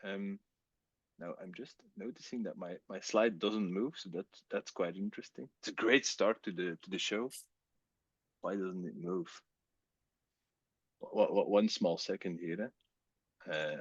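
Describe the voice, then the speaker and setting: A Belgian man whose first language is Dutch, with a Flemish accent, presenting a technical webcast in a relaxed, chatty way with many fillers. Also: low voice, a little slow, long pauses, husky, dry recording, lo-fi sound.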